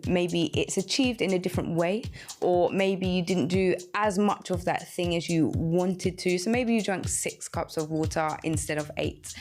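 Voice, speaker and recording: A woman's voice: fairly low pitch, darkish tone. A woman hosting a radio show, giving conversational practical advice.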